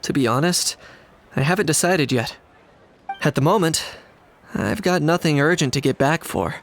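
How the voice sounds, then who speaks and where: An actor playing a calm, reserved young adventurer in a video game, speaking in a measured, deadpan, understated way. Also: male voice, fairly high pitch, a little hiss or hum. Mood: deadpan, relaxed.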